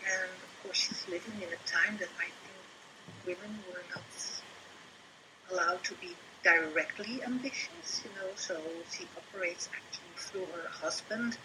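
A woman with a Dutch accent, a performance artist being interviewed, talking in a halting, reflective way and sometimes dropping into a whisper. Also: on the low side, noisy background. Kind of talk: casual interview chat.

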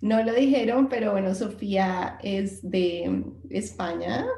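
A young woman from Colombia with a Bogotá accent, introducing her student club's team on a radio show in a friendly, brisk way. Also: medium pitch, fairly even intonation, warm tone, echoey room. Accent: Bogotá Colombian Spanish. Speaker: student club member presenting the team. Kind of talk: casual radio chat.

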